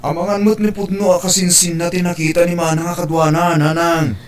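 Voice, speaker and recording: A man's voice: sits high, flat delivery, fairly quick, close to an everyday voice. A man with an Ilocano accent playing a jealous, distrustful son and boyfriend in an audio drama, questioning and accusing.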